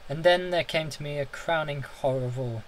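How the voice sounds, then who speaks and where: A man with a slightly high voice reads a gothic horror tale aloud. His delivery is theatrical and intense, with the occasional stumble over the words. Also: a little fast, a little hiss or hum, poor audio. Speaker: audiobook reader. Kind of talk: dramatic first-person horror story narration.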